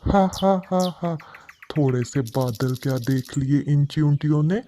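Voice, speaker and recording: A man voicing a lazy, mocking male crow husband in a moral cartoon, in an unhurried way. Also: on the high side, mellow, a little hiss or hum, lightly acted. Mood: mocking, complacent. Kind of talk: scripted moral cartoon dialogue.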